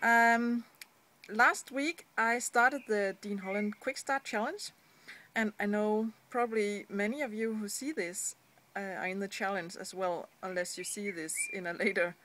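A Danish-accented woman in her thirties talks straight to her phone camera outside, loose and chatty, with plenty of "um"s and light laughs. Her voice is bright and clear, at a middle pitch for a woman. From Denmark. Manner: chatty, with frequent fillers and small laughs. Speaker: vlogger. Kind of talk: casual self-recorded vlog. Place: outdoors beside a brick wall. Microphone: handheld phone camera at arm's length.

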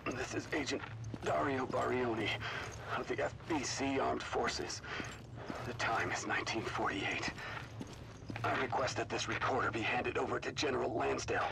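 An actor playing a dying soldier who leaves a grim, halting last report on a voice recorder in a video game. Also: male voice, mid-range voice, creaky. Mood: grim, defeated. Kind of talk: recorded log, dramatic game voice acting. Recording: very noisy voice-recorder playback.

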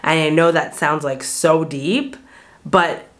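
A reflective American woman in her thirties speaks straight to the camera from a bright little bedroom. Her voice sits a touch low, and she talks in an earnest, searching way, circling back with "like" and restarting her sentences. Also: slow pace. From United States. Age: 30s. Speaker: vlogger. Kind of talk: candid talk to camera. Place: bright small bedroom indoors. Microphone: camera framed chest-up at desk distance.

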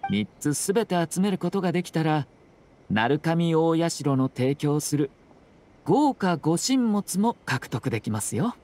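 An actor playing a composed young nobleman in an anime video game, speaking with polite, formal, measured phrasing and a subtly teasing slyness. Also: on the high side, sing-song, a little mellow. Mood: composed explaining.

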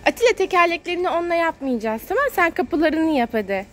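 High voiced mom talking to her little kid, sing-songy n encouraging, bit of noise outside. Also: lively intonation, quick pace. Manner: encouraging, sing-song repetition of words. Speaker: mother filming a family vlog. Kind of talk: casual narration to a small child. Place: outdoors at a car wash. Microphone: handheld phone camera, speaker behind it. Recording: outdoor car wash background noise.

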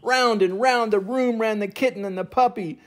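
An older man reading a children's picture book aloud as an expressive storyteller. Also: high-pitched, normal speed. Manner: expressive, acts out sound effects and voices.

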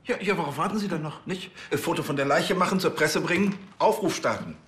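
An actor playing an impatient, exasperated police chief in a TV crime comedy, bossy, with hesitant, exclaiming starts. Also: male voice, a little high, lively intonation, fast talker, a bit bright, roomy sound. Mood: flustered, impatient.

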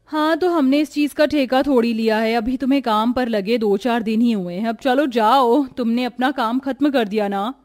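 A woman's voice: a little high, normal speed, hardly any pauses, darkish tone, natural voice. A woman voicing a rich, haughty young employer in a cartoon, bossy and dismissive.

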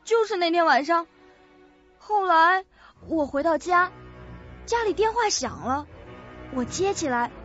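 Shrill, terrified, tearful young woman. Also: animated, exaggerated voice. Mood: frightened, pleading. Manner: halting, pleading, sobbing. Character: terrified, tearful young female employee confessing. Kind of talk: suspense radio drama acting. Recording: dull audio under loud music.

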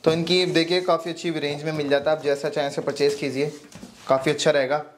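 A man's voice: on the high side, flat delivery, fast, a little hiss or hum. A young man hosting a casual vlog inside a laptop shop and warehouse, upbeat and talking straight to his viewers. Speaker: vlog host.

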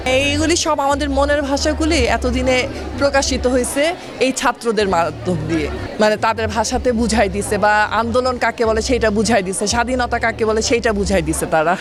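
A woman's voice: on the high side, normal speed. Female visitor, middle-aged, Bangladeshi accent, everyday phrasing, interviewed amid crowd sound and music. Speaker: exhibition visitor. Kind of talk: colloquial vox-pop interview. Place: crowded indoor gallery. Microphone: handheld interview microphone. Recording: music and crowd noise behind.

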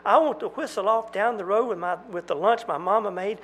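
A young country man with a drawl, ashamed and scared, his pleas climbing high and thin, as a male storyteller puts him on. The voice stays gravelly underneath. A faint hum sits behind the storybook reading. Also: very animated, moderate pace, heavily acted. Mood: pleading. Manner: plaintive, wailing, rising pitch.

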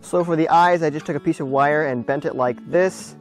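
A man's voice: a little high, brisk, faint music behind. A young American man presenting a casual vlog to camera, talking emphatically as he demonstrates a build. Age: young adult. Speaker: video creator, presenter. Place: outdoors by a wooden barn and gravel drive.

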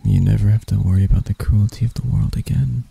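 A man voicing a deranged, seductive spider vampire in an intimate ASMR roleplay, soft and breathy, teasing and theatrical, doting yet menacing. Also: fairly low pitch, steady tone, exaggerated voice. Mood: tender, possessive. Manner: slow, teasing, theatrical, with dark chuckles.

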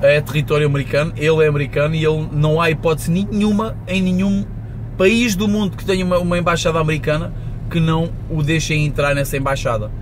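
A man's voice: a little high, little pitch movement, quick pace. A man of around forty with a European Portuguese accent, rambling casually to camera while driving and mixing in English words. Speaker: vlogger. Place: inside a moving van cab. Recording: loud road and engine noise. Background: traffic and vehicle noise.

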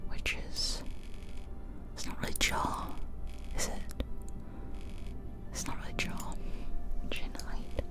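A woman's voice: takes it slowly, pauses often, over music. A woman whispering breathily and close to the mic, musing aloud in an ASMR gaming commentary. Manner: musing aloud, asking herself questions. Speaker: host of an ASMR gaming video. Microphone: close ear-to-ear ASMR microphone.

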